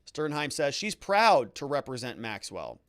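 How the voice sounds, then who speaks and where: A man's voice: medium pitch, very animated, unhurried, fairly bright tone, no echo, clean studio sound. A man hosting a legal commentary show, recapping a trial and reading quotes aloud with emphatic, theatrical stresses.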